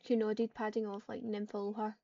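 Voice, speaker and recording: A woman reading roleplay lines aloud as she plays, in a flat, subdued way. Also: medium pitch, normal speed, gravelly, no echo. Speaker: online roleplayer. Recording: muffled, distant mic with keyboard typing.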